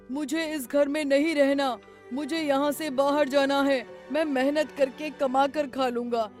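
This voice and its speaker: Woman playing an excitable lazy young man, music behind. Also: mid-range voice, natural voice.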